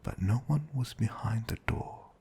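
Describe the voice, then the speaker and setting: A man playing a butler who reads a storybook fairy tale aloud in a soothing, breathy, creaky whisper. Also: on the low side, unhurried, warm tone, a little hiss or hum.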